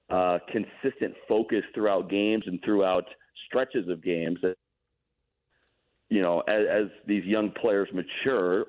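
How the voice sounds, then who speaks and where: A man, a hockey broadcaster, giving relaxed, explanatory analysis as a radio guest. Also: on the low side. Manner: relaxed, explanatory, self-deprecating. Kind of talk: casual radio interview analysis. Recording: tinny phone line.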